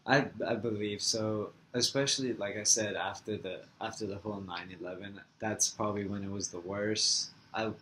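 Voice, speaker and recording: A young man with a somewhat low voice, from Florida, with Farsi as his first language. He answers interview questions casually and haltingly, with many "like" fillers. A faint hiss sits under his voice. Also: fairly even intonation, bright, forceful tone, low-quality recording. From Florida, USA.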